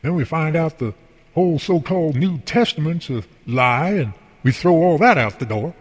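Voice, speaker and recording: An actor playing a folksy, devout boss and mentor in an amateur radio drama, explaining things earnestly and at length. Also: male voice, on the high side, animated, moderate pace, husky. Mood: earnest, preaching. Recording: long hall reverb.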